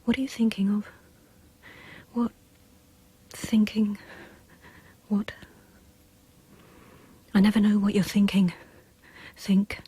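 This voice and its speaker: An actress playing an anxious, demanding woman in dramatic verse, with breathy, husky, fretful and urgent questioning. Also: mid-range voice, level tone, takes it slowly, long pauses, mellow. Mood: agitated, pleading. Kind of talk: dramatic poetry recital.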